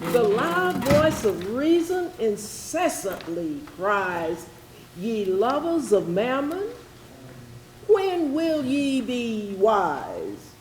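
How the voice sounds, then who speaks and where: A woman's voice: fairly high pitch, very animated, extremely slow, full character voice. A woman playing an impassioned orator in a readers theatre, reciting anti-slavery verse in a declamatory, pleading manner. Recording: roomy echoing stage, faint noise.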